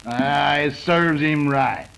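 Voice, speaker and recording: A gravelly Old West outlaw, played by a man, sneering and menacing. The pitch is a touch high for a man, with creaky edges and rustic American West speech, in 1930s radio style. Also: slow, fairly bright tone, full character voice. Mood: sneering, taunting. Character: menacing, sneering western cattle-rustler outlaw. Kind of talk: 1930s western radio acting.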